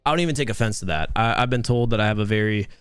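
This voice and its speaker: A young man hosting and casting a live mobile game tournament, chatty and talking to his chat in a soothing, relaxed voice. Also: fairly low pitch, very fast, clear, resonant tone, dry recording, studio quality, soft background music. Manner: chatty, talks to chat, restarts words. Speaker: tournament host and caster. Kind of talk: live stream esports commentary.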